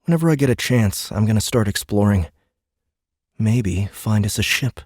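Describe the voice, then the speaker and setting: A man's voice: fairly low pitch, expressive, moderate pace, a little mellow, slightly rough, dry recording, studio quality. A man voicing a determined, cocky teenage boy with a casual, defiant manner in a science fiction audiobook. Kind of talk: sci-fi audiobook character dialogue.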